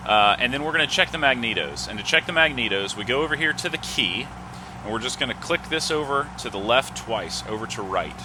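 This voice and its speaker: A man's voice: medium pitch, animated, a little fast, noisy background. A man giving methodical, instructional step-by-step flight simulator commentary, reading off checklist items. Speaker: host of a flight simulator video series.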